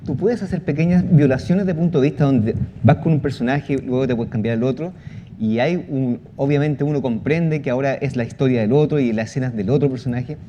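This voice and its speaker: Man, panel guest, relaxed dark timbre, explanatory, halting delivery, muffled live-event sound. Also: mid-range voice, slight background noise. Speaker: panel guest, audio fiction creator.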